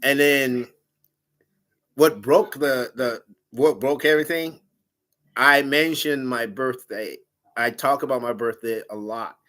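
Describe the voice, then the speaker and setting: Man, big loud voice, animated storytelling chat. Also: mid-range voice, slow. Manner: animated, laughing, quotes himself in raised voice. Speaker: dating show guest.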